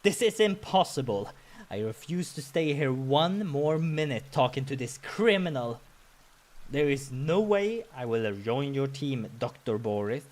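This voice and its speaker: A man's voice: medium pitch, very animated, measured pace, a little mellow. A man voicing a proud, hot-tempered male adventurer who speaks with exasperated, indignant emphasis. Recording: music behind the voice.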